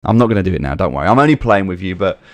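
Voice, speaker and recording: A man's voice: deep voice, big pitch swings, rapid-fire, dry recording. A British man hosting a livestream, answering chat by name and making earnest, repetitive points about helping others. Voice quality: soothing voice. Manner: earnest, preachy, repeats points for emphasis; reads viewer names from chat and answers them. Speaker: livestream host.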